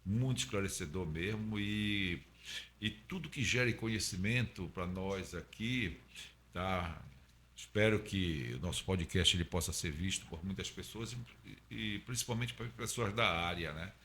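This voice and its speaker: A man's voice: low voice, unhurried, a bit bright, slight background noise. A man hosting a podcast interview, affirming and summing up what his guest says. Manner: affirming, thanks and sums up the guest. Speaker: podcast host.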